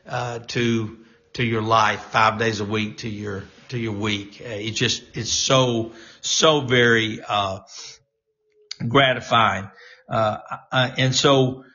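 A man who is a pastor, hosting a casual live stream, talking in a halting way with many fillers and restarts, encouraging his listeners. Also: medium pitch, sharp tone, highs cut off. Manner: encouraging, preachy.

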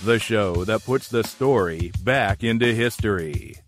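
A man's voice: lowish voice, unhurried, soft background music. A man announcing a scripted podcast promo.

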